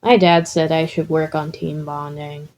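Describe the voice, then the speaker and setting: A woman voicing a calm, unflappable teenage boy in a story reading, deadpan and nonchalant. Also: deep voice, unhurried.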